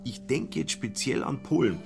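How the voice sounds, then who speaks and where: Radio play part: tipsy, naive young know-all, colloquial, medium pitch, clear music behind. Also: male voice, lively intonation, moderate pace. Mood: opinionated, smug. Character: naive, tipsy, opinionated younger German petty bourgeois, Kobler. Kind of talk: radio drama dialogue.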